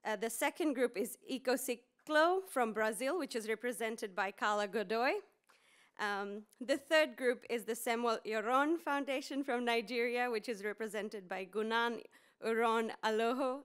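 Smooth-voiced woman moderating a panel. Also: on the high side, normal speed. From Fiji. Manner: frequent ums and small restarts. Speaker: session moderator. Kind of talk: conference panel introduction. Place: conference room with seated audience. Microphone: podium mic.

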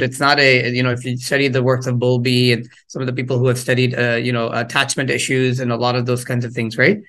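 A man giving an interactive workshop from home over a video call, chatty and searching, with plenty of fillers and restarts. He throws questions to his listeners and picks up on their answers. His voice sits at an ordinary middle pitch through a laptop mic. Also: a bit bright. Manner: conversational, engaging the audience with questions; frequent fillers and repeated words. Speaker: workshop presenter. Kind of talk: interactive parenting workshop talk.

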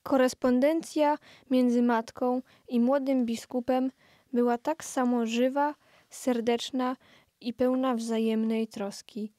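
A woman's voice: fairly high pitch, level tone, slow, mellow, no room sound, clean studio sound. A woman reading scripted documentary narration for an audio play.

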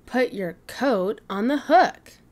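A woman's voice: on the high side, expressive, takes it slowly. A woman voicing a helpful young boy stegosaurus in a storybook, stressing key words as he corrects his friend.

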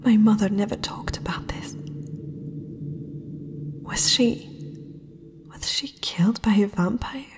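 Casting: young fantasy princess played by a woman, proud but uneasy, very breathy whisper, unhurried, intimate ASMR delivery. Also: mid-range voice, darkish tone, heavily acted. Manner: hesitant, slow, intimate. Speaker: ASMR roleplay performer.

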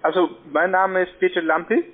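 A man who is not a native German speaker, making a halting telephone sales pitch and often checking in with a short question. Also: little pitch movement. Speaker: cold-calling telephone salesman. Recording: tinny narrow-band phone line.

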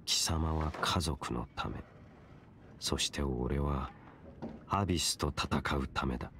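Casting: a cold, solemn young wanderer for a fantasy game, bottom-register voice, unhurried and formal. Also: male voice, extremely low pitch, a bit bright, slight background noise, exaggerated voice. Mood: grave, measured. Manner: measured, stern, detached. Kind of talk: Japanese game voice acting.

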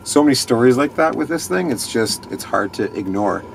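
A man's voice: mid-range voice, animated, brisk, gravelly, slight background noise. A man in his thirties, a ski racer being interviewed in a vehicle, talking earnestly in a rambling way with many restarts. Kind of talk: casual interview chat. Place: inside a small parked vehicle at night.

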